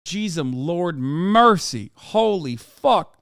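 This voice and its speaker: A man's voice: high-pitched, lively intonation, slow pace, vocal fry, fairly bright tone, clean tone, no echo, studio quality. A man hosting a live stream, giving casual, profane reaction commentary and bantering with his chat. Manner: swearing, exclamatory, bantering with chat. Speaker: streamer host.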